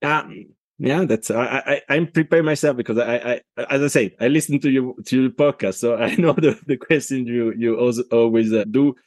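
A middle-aged man with an Italian accent, a consultant speaking as a podcast guest, talking in a halting way with many restarts. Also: medium pitch, darkish tone, dry recording. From Italy. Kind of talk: casual interview talk.

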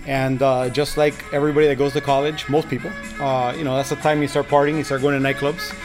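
A man's voice: medium pitch, level tone, fast talker. A middle-aged man from Miami, a podcast guest talking casually and rambling with many restarts. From Miami, Florida. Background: music.

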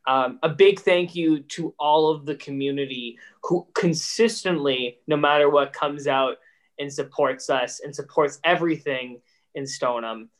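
A teenage boy from a high school drama club, speaking earnestly in a casual interview. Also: measured pace. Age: teens. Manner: earnest, eager.

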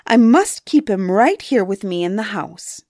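A woman's voice: medium pitch, lively intonation, a little slow, darkish tone, no echo, clean studio sound. A woman voicing a sly, fretful old female troll in a storybook, muttering anxiously to herself.

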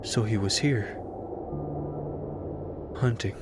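An actor playing a subdued, bewildered man in an audio drama, quiet and hesitant. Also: fairly low pitch, slow, background noise. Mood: puzzled.

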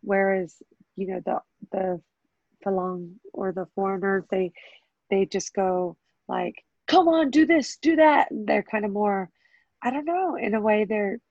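Loud, chatty American woman. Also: medium pitch, measured pace, dry recording, highs cut off. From United States. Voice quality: very loud, carrying voice. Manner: chatty, animated, bursts into loud cheering. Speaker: Muay Thai coach. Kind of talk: casual interview answers.

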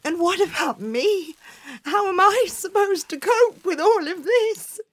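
A woman's voice: very high voice, expressive, a little slow, voice pushed up. A woman voicing a self-pitying willow tree who talks in a plaintive whine. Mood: whiny.

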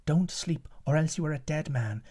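Brief: raised, hurried, clever young woman, as a male narrator puts her on. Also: fairly high pitch, warm tone, voice pushed up, natural voice. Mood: urgent. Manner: urgent, hurried pleading. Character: young giant's daughter, urgent and clever.

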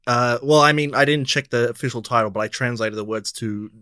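A man's voice: medium pitch, fairly quick, pushed and bright, no room sound. A man from Poland, Polish his first language, co-hosting a podcast in a dry, laid-back way. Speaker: podcast co-host. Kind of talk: casual podcast chat.

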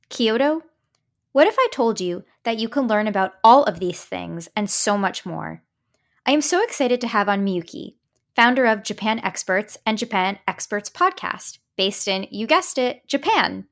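A woman's voice: on the high side, expressive, measured pace, fairly bright tone. A woman hosting a travel podcast who talks in an upbeat, gushing way and praises her guest often. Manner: upbeat, gushing, many "I love that". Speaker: podcast host. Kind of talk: enthusiastic podcast interview.

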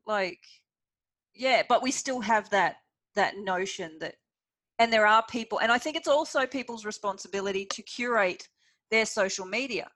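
A woman hosting a casual interview chat, talking in an animated, chatty way with many fillers. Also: medium pitch, normal speed, dry recording. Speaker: podcast host.

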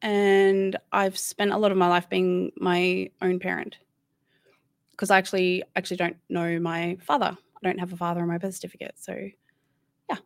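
A woman's voice: lowish voice, flat delivery, normal speed, creaky, no echo, clean studio sound. An Australian woman in her thirties, a guest chatting casually and candidly, rambling with many restarts. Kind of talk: casual interview chat.

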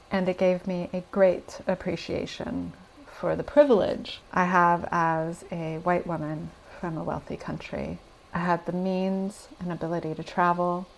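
Low, gravelly American woman. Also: poor audio. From United States. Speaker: podcast guest. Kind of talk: casual interview answers.

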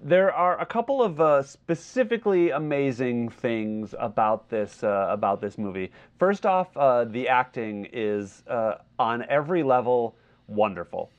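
Halting man on slightly dull audio. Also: mid-range voice, lively intonation. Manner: halting, searching for words. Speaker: podcast co-host. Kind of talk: casual film discussion.

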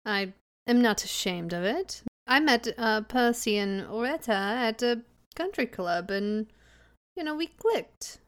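A woman's voice: a little high, animated, slow. A woman playing a composed country-club widow in a put-on transatlantic lilt, clipped and prim.

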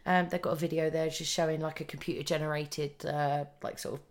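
Woman, lowish voice, podcast host just chatting away casually. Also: flat delivery, fairly quick.

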